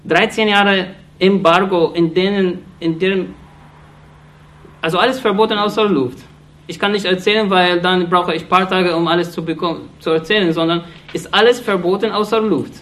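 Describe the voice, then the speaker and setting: A man speaks in a fairly high voice, pressing his points with earnest, accusatory intensity. His German is halting, full of restarts and small fillers as he hunts for words. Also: fairly even intonation, fairly bright tone. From Iraq. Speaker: speaker addressing an audience. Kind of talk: impassioned political speech.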